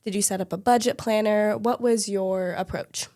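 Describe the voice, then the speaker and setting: A young woman from the United States hosting a student podcast as a steady, friendly interviewer. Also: medium pitch, normal speed. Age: young adult. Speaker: podcast host.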